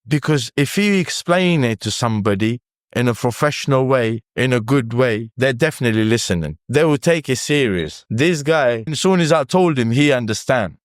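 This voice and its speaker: A man's voice: mid-range voice, lively intonation, moderate pace, dry recording, clean studio sound. A man whose first language is Pashto and who speaks English with a Cockney tinge. He tells his story earnestly in a casual interview, repeating phrases and using plain, broken grammar. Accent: Cockney-tinged London English over Pashto. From Afghanistan. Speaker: barber and podcast guest.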